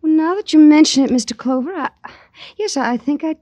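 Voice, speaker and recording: A woman's voice: high-pitched, fairly quick, mellow, natural voice. An American actress playing a fragile, delusional grieving mother in an old radio drama, with hesitant, intense delivery. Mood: nervous. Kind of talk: 1940s-50s radio drama melodrama acting.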